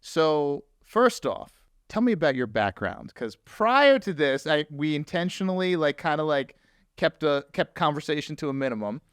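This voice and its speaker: A man's voice: fairly high pitch, sing-song, normal speed, clean tone, no room sound, clean studio sound. A man from New Jersey hosting a podcast in casual chat, talking loosely with lots of filler words. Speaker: podcast host.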